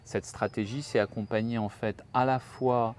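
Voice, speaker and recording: A man's voice: mid-range voice, steady tone, moderate pace, a little mellow, a little hiss or hum. A French man speaking as a guest in a casual interview, thoughtful and hesitant, often restarting his sentences. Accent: slight French accent in English. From France. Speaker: guest, HR professional.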